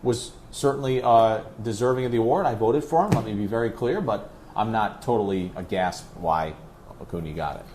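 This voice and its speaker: A man's voice: fairly low pitch, brisk, darkish tone, a little hiss or hum, poor audio. A man who works as a play-by-play announcer, talking conversationally and at length as an interview guest, pressing his points with emphatic repetition.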